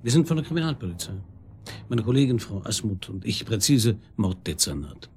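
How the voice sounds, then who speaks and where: An actor playing a shrewd homicide detective in a radio crime drama, probing with dry irony. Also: male voice, on the low side, very animated, a little fast, darkish tone, slight background noise. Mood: firm, official. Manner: ironic, probing, chuckling understatement.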